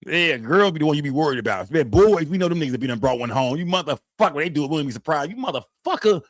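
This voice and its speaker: Guy in his 40s running a live stream, talks fast and loose, keeps saying man and fam, dry close sound. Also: fairly high pitch, animated, dry recording. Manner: loose, profane, repeats phrases with tag words like "man" and "fam". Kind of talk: casual live-stream chat, reading the chat aloud.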